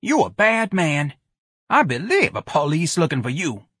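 Man as an indignant, high-voiced scolding woman. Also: sing-song, brisk, bright, forceful tone, breathy edge, no room sound, clean studio sound, full character voice. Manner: scolding, folksy vernacular. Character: intense, indignant woman, choir spokesman among workers.

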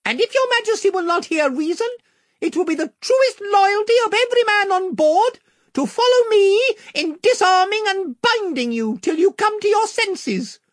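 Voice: very high-pitched, lively intonation, normal speed, dry recording, studio quality, pitched above the performer's own voice. A reader voicing a valiant, courtly talking mouse knight who speaks with formal, solemn politeness. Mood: solemn, dutiful.

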